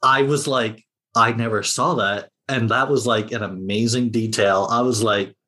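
A man's voice: lowish voice, fairly even intonation, normal speed, pushed and bright. A man talking casually as a panelist on a podcast about a TV series.

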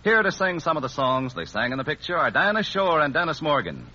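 A man's voice: a little high, big pitch swings, brisk, sharp tone, muffled recording. A man announcing and playing straight man in a 1940s radio variety show, on a vintage broadcast recording. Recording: band-limited, noisy vintage radio broadcast.

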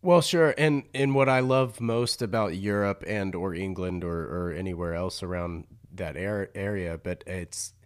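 A podcast host with a very low voice asks his questions in an eager, chatty way. He talks casually and reacts warmly to his guest. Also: fairly even intonation, measured pace, hardly any pauses. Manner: enthusiastic, chatty interviewer. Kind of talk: casual interview chat.

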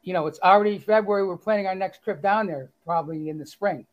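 A man's voice: sits high, fast. A man with a Boston accent, an author guest reading his own story aloud and chatting with good humor. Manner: good-humored, self-deprecating, with fillers. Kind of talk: reading aloud and casual interview chat.

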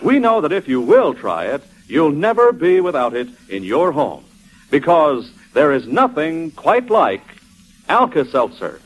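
A man's voice: fairly high pitch, wildly swooping pitch, unhurried, low-quality recording. A man announcing a sponsor's commercial on 1940s radio, with polished salesman patter on an old broadcast recording.

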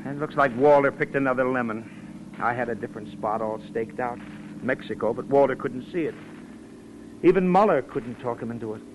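A man playing a smooth-talking schemer who casually sweet-talks his girl, in 1940s radio drama acting. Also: mid-range voice, moderate pace, lightly acted, poor audio. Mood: offhand. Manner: casual, slangy, feigned reluctance. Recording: old radio recording with faint noise.

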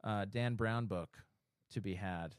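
A man hosting a casual podcast chat, reacting quickly and wandering through explanations. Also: fairly low pitch, clear, resonant tone. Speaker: podcast host.

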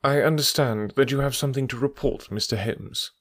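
A man reading an audiobook, voicing a subdued older prime minister who speaks in a grave, firm, deliberate way. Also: medium pitch, pitched above the performer's own voice, natural voice.

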